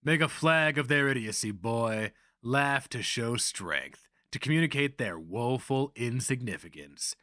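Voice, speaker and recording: A man's voice: mid-range voice, expressive, a little slow, few pauses, bright, forceful tone, no room sound, clean studio sound, pitched above the performer's own voice. A man voicing a canny, mocking older slaver and mentor in a dramatic fantasy reading, needling and emphatic. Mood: wry instruction.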